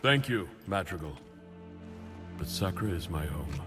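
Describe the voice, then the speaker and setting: A deferential, strained governor in a video game, speaking with a very low, deep voice that moves around a lot in pitch. Clear music plays behind. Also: male voice, sing-song, unhurried.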